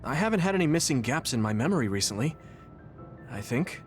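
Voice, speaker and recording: An actor voicing an earnest, troubled young platoon hero in an English-dubbed anime game, speaking plainly. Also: male voice, medium pitch, very animated, a little fast, close to an everyday voice. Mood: pensive. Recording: game music behind the voice.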